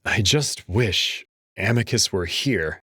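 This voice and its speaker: A man's voice: on the low side, lively intonation, takes it slowly, sharp tone, breathy edge, no echo, clean studio sound, exaggerated voice. A man playing an uneasy young student who narrates a visual novel in the first person, introspective and hesitant, acting out his own dialogue. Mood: brooding, subdued.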